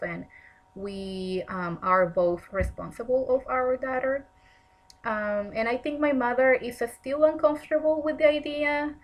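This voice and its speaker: A woman's voice: mid-range voice, poor audio. Earnest thirty-year-old woman. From Mexico. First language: Spanish. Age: 30s. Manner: earnest, measured, with frequent ums. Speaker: graduate student. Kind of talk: reading a prepared self-introduction.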